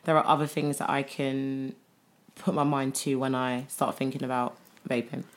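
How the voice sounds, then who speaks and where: A woman talks in a very low, deep voice. She co-hosts a casual podcast chat, blunt and candid, dropping the odd swear word. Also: flat delivery, moderate pace. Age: 30s. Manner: candid, swearing, laughs often. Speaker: podcast co-host.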